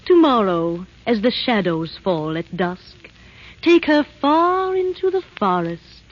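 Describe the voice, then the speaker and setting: A woman voicing a cold, imperious evil queen in an old animated film, commanding and menacing. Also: mid-range voice, takes it slowly. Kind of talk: 1930s animated film acting. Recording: dull vintage film soundtrack.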